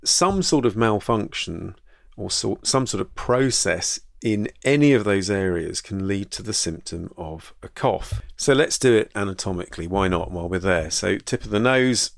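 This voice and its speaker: A man's voice: on the low side, gravelly, dry recording, clean studio sound. A man hosting a solo podcast as a doctor, talking through a health topic in a conversational, thoughtful way with fillers and restarts. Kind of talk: solo educational podcast talk.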